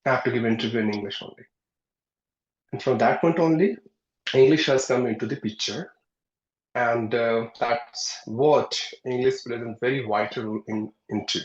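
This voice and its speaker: A man's voice: normal speed, dull audio. Earnest Indian man, echoey room. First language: Hindi. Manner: earnest, rises in pitch and loudness to stress points. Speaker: English learner and channel host. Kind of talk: conversational interview practice.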